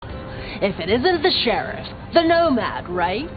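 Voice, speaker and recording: Brash, slangy vampire girl with swooping pitch. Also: big pitch swings, slow pace. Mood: sarcastic. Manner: slangy, sardonic, streetwise, swearing. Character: brash, sarcastic young female vampire, scrappy small-town newcomer. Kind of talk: video game character dialogue. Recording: dull game audio under clear music.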